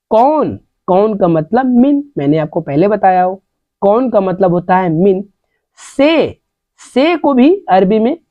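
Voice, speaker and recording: Slow, lively-pitched woman teaching phrases. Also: dry recording. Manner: repeats each phrase several times, slowly and deliberately. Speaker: Arabic language teacher. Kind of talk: slow repetitive vocabulary lesson. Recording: dull, lo-fi sound.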